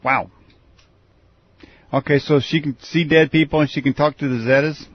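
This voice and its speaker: A man with a husky voice casually interviewing as a show host, with short responses and questions. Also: a little high, steady tone, moderate pace, highs cut off.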